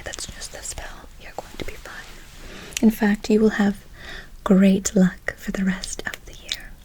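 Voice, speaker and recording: A woman playing a doting, whimsical forest witch in an ASMR roleplay, cooing endearments and gently reassuring her listener. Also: medium pitch, natural voice, low-quality recording. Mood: soothing.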